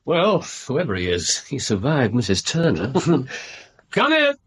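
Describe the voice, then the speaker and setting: An English actor playing a brisk, aloof Victorian detective in a radio drama, speaking precisely and with self-assurance. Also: male voice, medium pitch, wildly swooping pitch, fairly bright tone, breathy edge, exaggerated voice.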